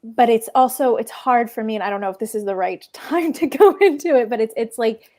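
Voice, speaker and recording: Israeli-born woman panelist, Hebrew first language, halting and reflective. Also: on the high side, lively intonation, mellow. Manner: hesitant, circling restarts. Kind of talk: casual panel discussion.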